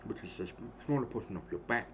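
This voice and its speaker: A hoarse man in his thirties casually calling the action of a wrestling game he is streaming, rambling and clearing his throat often. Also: fairly low pitch, expressive, fast talker. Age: 30s. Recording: dull lo-fi stream audio with clear noise.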